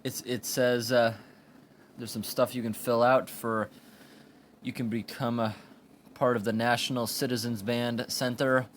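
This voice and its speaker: Man, mid-pitched voice, informal product reviewer, plain halting explanations, handheld camera mic, faint background noise. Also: level tone. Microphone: handheld camera or phone microphone. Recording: amateur recording with background room noise.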